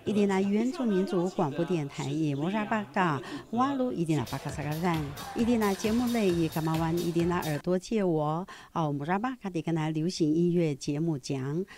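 A woman's voice: fairly low pitch, mellow, no echo. A middle-aged woman, a native Pinuyumayan speaker, reading scripted news as a presenter, with small fillers between phrases.

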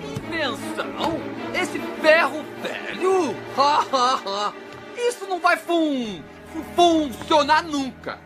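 Stuttering, high-pitched cartoon villain. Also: animated, very slow, crowd noise, heavily acted. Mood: mocking. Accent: Brazilian. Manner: wheedling, taunting. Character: stuttering scheming villain gang leader, mocking, cowardly insect bully. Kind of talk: animated film dub acting. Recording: music behind the voice.

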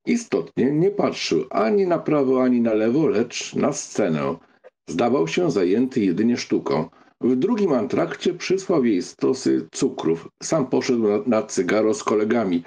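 A man narrating a literary audiobook from a script, with a gravelly, husky, mellow voice. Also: medium pitch, sing-song, a little slow.

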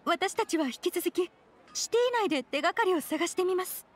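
A woman's voice: very high voice, expressive, a little fast. A woman voicing a worried, polite young woman in a game, speaking formally and earnestly. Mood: grateful.

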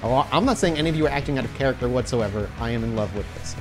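A man running a tabletop game as game master, narrating scenes and talking through rules in an excitable, informal way. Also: medium pitch, racing, over music. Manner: excitable, informal, laughs easily.

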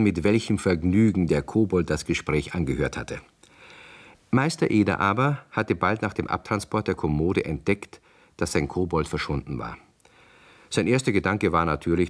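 An actor narrating a 1960s German children's radio play as a storyteller. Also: male voice, deep voice. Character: male storyteller addressing young listeners.

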